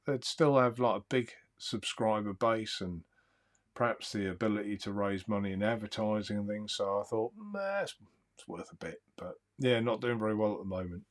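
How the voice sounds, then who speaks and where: A man hosting a live stream, chatting in a calm, soothing, easygoing way and reading out viewer comments. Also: on the low side, moderate pace. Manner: rambling, easygoing, chuckles often. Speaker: livestream host.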